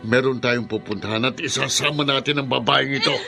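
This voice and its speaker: A man's voice: medium pitch, fairly even intonation, brisk, vocal fry, sharp tone, faint music behind. An actor with a Filipino accent playing a threatening, taunting, vengeful gunman in a radio drama. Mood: menacing. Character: menacing gunman, vengeful man taking a hostage.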